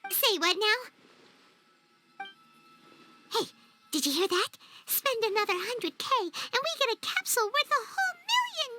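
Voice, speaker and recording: A woman voicing a small, excitable, childlike fairy companion in a video game, chatty and naive, with a squeaky voice. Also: unhurried, pauses often, exaggerated voice. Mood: excited, persuading. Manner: refers to self in third person, exclaiming, wheedling. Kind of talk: video game cutscene acting. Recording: music under the voice.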